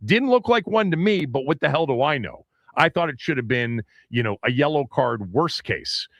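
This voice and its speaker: Male radio host, lively pitch, dry close sound, argues with callers. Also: normal speed, no echo. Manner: animated, presses callers with questions, interrupts. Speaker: radio sports talk host. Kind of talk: call-in radio talk.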